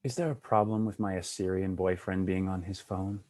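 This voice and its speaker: Man playing a very deep-voiced deadpan boyfriend. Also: normal speed, natural voice. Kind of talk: live screenplay table read, comedic acting.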